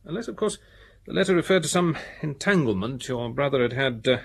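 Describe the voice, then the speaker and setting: An actor playing a methodical, earnest police detective in a British radio drama, speaking in a careful, matter-of-fact way. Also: male voice, mid-range voice, animated, fairly quick, creaky, slightly rough. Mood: thoughtful.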